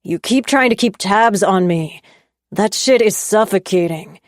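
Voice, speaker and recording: A woman voicing a young man, an angry and jealous boyfriend, who talks in raised, sharp and scornful lines. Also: mid-range voice, unhurried, no room sound, studio quality, lightly acted.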